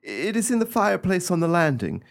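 Radio drama: frail, embittered freed prisoner of noble birth, acted by a man, formal old-fashioned diction, very lively pitch swings. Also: brisk, vocal fry, exaggerated voice. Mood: grave, confessing. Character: frail, bitter lord's son freed from long imprisonment. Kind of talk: radio play acting.

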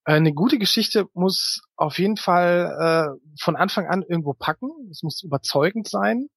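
A middle-aged man speaks as an interview guest. His voice sits a little high and has a husky grain, recorded close and dry. He explains his points conversationally, pausing often to search for words. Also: a bit bright, dry recording.

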